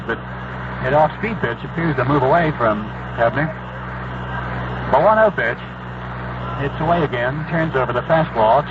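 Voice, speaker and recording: A man calling a baseball game play by play as a radio announcer, in live commentary. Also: on the high side. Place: stadium broadcast booth. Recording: dull, very noisy 1970s radio broadcast.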